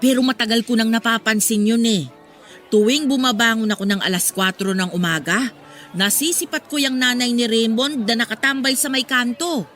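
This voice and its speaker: A woman's voice: fairly high pitch, moderate pace, fairly bright tone, lightly acted. Actress playing meddlesome older woman, Filipino accent, conspiratorial gossip, radio drama, faint music underneath. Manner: prying, chatty, conspiratorial. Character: gossipy, meddlesome older neighborhood mother, kindly but nosy. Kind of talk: Tagalog radio drama acting.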